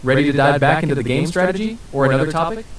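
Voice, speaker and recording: A synthetic male voice answering as an AI interview guest, smooth and evasive with scripted-sounding replies. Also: on the high side, lively intonation, very fast. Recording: roomy and distant with faint hiss.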